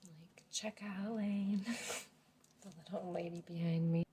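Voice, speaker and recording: A woman in her forties talks softly into the camera at home, in a hushed near-whisper so others won't hear. Her voice sits low and she takes her time, drifting and laughing as she hunts for words. A faint hiss runs under her voice. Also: fairly even intonation, slow. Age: 40s. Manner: hushed, half-whispered talk; rambling, loses her words, laughs often. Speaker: family vlogger. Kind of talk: casual rambling vlog chat.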